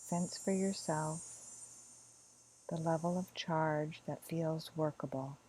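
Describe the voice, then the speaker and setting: A woman with a low, mellow voice leads a guided meditation. She is gentle and soft, leaving long, unhurried pauses between phrases. A faint hum with insect-like noise sits behind her. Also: fairly even intonation. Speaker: meditation teacher in training.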